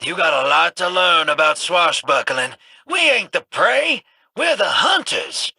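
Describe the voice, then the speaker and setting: A wry rogue smuggler, drawling slang with plenty of swagger. His voice is husky yet bright and sits high. The pitch bounces all over as he talks. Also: big pitch swings, measured pace, dry recording, heavily acted. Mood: cocky. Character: scrappy roguish male smuggler, cocky and wry. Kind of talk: video game voice lines.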